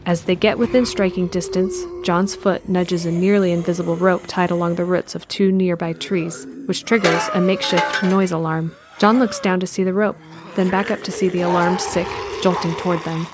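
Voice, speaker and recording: A woman reading scripted narration for an audio drama in an even, descriptive way. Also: on the low side, normal speed, few pauses, background noise.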